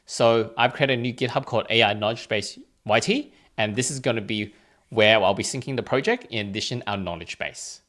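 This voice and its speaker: A man's voice: fairly low pitch, brisk, a bit bright. A man hosting an instructional screencast, explaining things step by step in a plain, informal way. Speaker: tutorial host.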